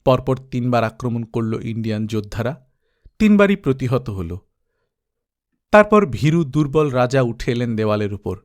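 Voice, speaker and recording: A man's voice: mid-range voice, sing-song, normal speed. Man narrating an adventure story. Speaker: audiobook narrator. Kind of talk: storybook reading.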